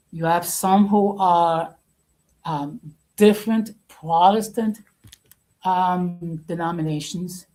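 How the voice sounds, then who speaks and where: Slow, halting woman with a mellow voice. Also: lowish voice, low-quality recording. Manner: halting, deliberate, emphatic, repeats phrases. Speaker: host. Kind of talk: informal opinion talk to an audience.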